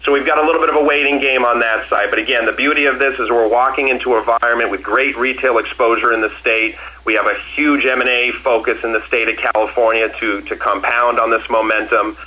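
A man's voice: medium pitch, fairly even intonation, fast talker, hardly any pauses, pushed and bright, low-quality recording. A man from Massachusetts, a company executive answering questions on an earnings call in a confident, emphatic way, often restarting and repeating phrases.

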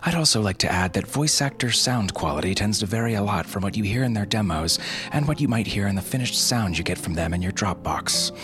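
A man hosting a podcast with scripted comedy, speaking in a deadpan, tongue-in-cheek manner. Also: lowish voice, a little fast. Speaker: podcast host. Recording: music behind the voice. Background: music.

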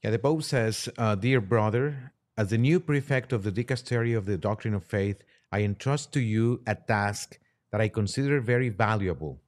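A man speaking as a priest and podcast guest, halting as he discusses religion and poses questions. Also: on the low side.

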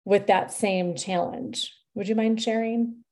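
A woman's voice: medium pitch, steady tone, slow, a little mellow. A woman hosting a conversational podcast, affirming her guest with enthusiasm. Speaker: podcast host, marketing CEO.

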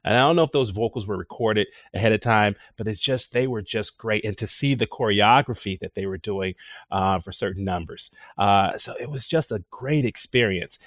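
A man speaking as a guest in a casual roundtable, enthusiastic and halting, with many restarts. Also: lowish voice, no echo, studio quality. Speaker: panel guest.

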